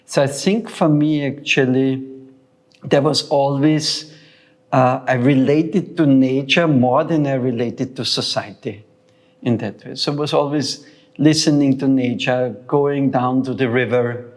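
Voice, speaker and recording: Man in his sixties, from Austria, reflective, hesitant, restarts often, mid pitch. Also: fairly even intonation, a little slow. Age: 60s. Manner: thoughtful, hesitant, many fillers and restarts. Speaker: guest, founder of a sound center. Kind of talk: reflective interview talk.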